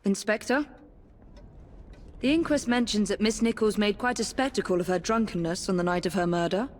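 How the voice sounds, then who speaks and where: An actress playing a cool, formal, determined young Victorian assassin in a video game drama. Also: female voice, mid-range voice, brisk, lightly acted. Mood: intent, resolute.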